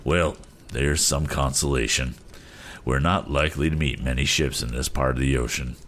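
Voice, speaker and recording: A man voicing a seasoned sea captain, hearty and politely measured, in a storybook reading. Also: rumbling low, steady tone, fairly bright tone, voice pushed down. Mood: calm, reassuring.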